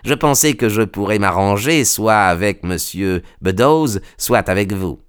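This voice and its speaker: A man's voice: lowish voice, expressive, a little slow, clear, resonant tone, no room sound, studio quality. A man voicing a sly old sailor in an audiobook story, obsequious and insinuating, with a faint menace. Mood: servile, insinuating.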